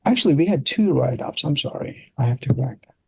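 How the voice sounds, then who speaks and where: A middle-aged man with a Turkish accent, telling stories casually as an interview guest, often halting and searching for words. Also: medium pitch, lively intonation, vocal fry, husky, dry recording. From Turkey. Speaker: interview guest, product designer. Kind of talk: casual conversational storytelling.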